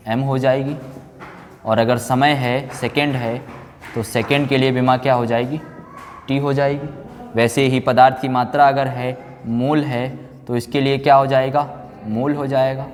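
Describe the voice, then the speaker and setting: A man teaching like a classroom physics lecturer, instructive, asking questions and answering them himself, repeating key terms. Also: medium pitch. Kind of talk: classroom exam-prep lecture in Hindi mixed with English terms. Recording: long hall-like reverb on voice.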